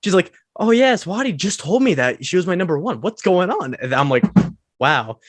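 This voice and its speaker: A man co-hosting a podcast who tells stories in a relaxed way, acting out quoted asides. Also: sits high, moderate pace, dry recording. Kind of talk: casual podcast chat.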